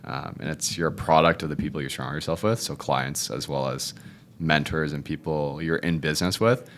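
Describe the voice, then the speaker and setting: Young male guest, Cantonese first language, extremely low voice, loose and chatty delivery. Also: fairly quick, slight background noise. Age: 20s. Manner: relaxed, rambling, fond of the tag "if you will, right?". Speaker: podcast guest, realtor. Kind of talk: casual interview chat.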